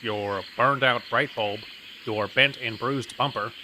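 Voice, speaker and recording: A pompous traffic cop piling up alliterations, as a man acts him out, officious and prone to tongue-twisting rants in a comedy audio sketch. The voice is sharp and very bright. A faint hiss sits underneath it. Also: medium pitch, measured pace, rough recording.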